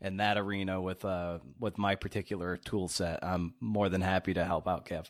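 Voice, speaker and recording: A man hosting a podcast, talking conversationally and encouragingly, with some hesitant pauses. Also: low-pitched, little pitch movement. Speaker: podcast host. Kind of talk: conversational interview and podcast outro.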